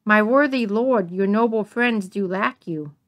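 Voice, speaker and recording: A woman playing a composed, commanding queen in a measured Shakespearean dramatic reading. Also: mid-range voice, natural voice. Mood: smooth, placating. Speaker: amateur reader in a dramatic reading.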